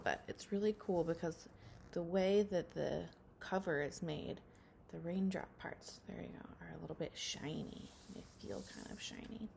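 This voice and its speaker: A woman's voice: lowish voice, vocal fry, darkish tone, a little hiss or hum. A woman speaking to young children as a teacher in a read-aloud lesson, addressing them directly.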